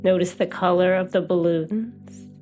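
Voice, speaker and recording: A woman guiding a meditation as a hypnotherapist, with a soothing, gentle, unhurried delivery. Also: fairly low pitch, steady tone, soft background music. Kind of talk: guided meditation.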